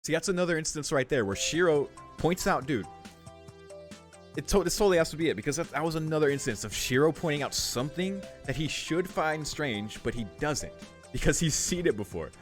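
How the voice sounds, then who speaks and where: A man's voice: fairly high pitch, expressive, brisk, soft background music. A young man giving casual playthrough commentary, thinking aloud informally and reading game text out as he goes. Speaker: video game streamer.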